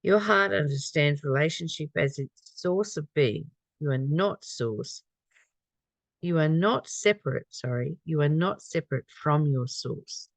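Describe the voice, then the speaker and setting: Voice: mid-range voice, expressive, a little slow, vocal fry, no echo. Reflective spiritual reader with a close, dry sound. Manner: reflective, conversational, repeats phrases and poses questions. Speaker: spiritual study reader and commentator. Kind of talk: reading aloud with informal reflective commentary.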